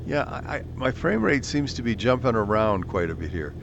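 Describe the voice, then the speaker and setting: A man's voice: sing-song, normal speed, gravelly, muffled recording, noisy background. A man, chatting casually and self-deprecatingly while flying a VR flight sim at home. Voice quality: deep voice. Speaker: flight sim video host.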